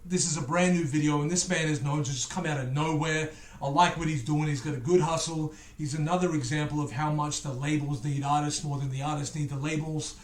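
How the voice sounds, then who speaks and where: A man's voice: fairly high pitch, echoey room. Chatty Englishman with an Australian accent. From England. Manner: chatty, joking, rambling asides to viewers. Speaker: music reactor. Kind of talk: casual reaction video chat.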